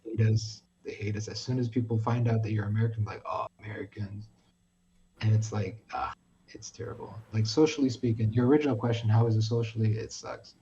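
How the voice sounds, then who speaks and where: A man's voice: on the low side, fairly quick, muffled recording. A man from New York telling a story with lots of swearing, acting out the dialogue as he goes. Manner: animated storytelling, heavy swearing, acts out dialogue. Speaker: podcast guest. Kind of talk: casual chat.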